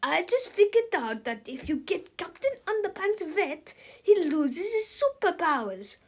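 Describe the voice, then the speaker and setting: Brash, gloating male villain, played by a woman reader: high voice, excitable, cocky, loud storybook delivery. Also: a little slow, heavily acted. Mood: boastful.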